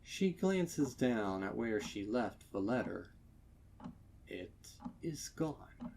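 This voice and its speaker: Very slow, low-voiced male horror narrator. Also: big pitch swings, vocal fry, a little mellow, a little hiss or hum. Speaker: fan fiction narrator. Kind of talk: dramatic horror story narration.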